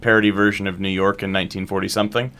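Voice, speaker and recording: A man's voice: low voice, level tone, very fast, fairly bright tone, smooth. A man chatting casually and animatedly as a guest in an interview. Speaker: show creator, director and actor, interview guest.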